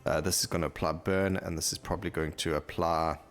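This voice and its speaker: A deep-voiced man hosts a gameplay video in a casual way. His voice has a lot of creak and fry in it. He thinks aloud as he reads through stats and details on the screen. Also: fairly even intonation, fairly quick, faint music behind. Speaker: gaming YouTuber host.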